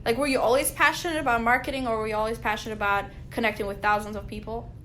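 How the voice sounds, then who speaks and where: A woman's voice: on the high side, fairly even intonation, racing, sharp tone, slight background noise. A woman from Albania hosting a casual interview, chatty and peppered with "like". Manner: chatty, uses "like" often. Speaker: podcast host.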